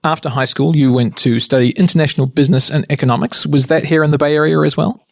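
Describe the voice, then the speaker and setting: A man's voice: a little high, steady tone, fast talker, gravelly, a little mellow, no room sound. Wry male podcast host, dry sound. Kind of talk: interview questions.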